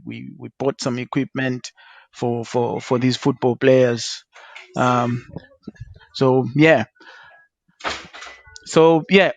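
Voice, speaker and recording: A man hosting an online panel discussion, introducing a guest in a halting way with many fillers and repeated words. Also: medium pitch, dry recording, lo-fi sound. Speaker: talk show host.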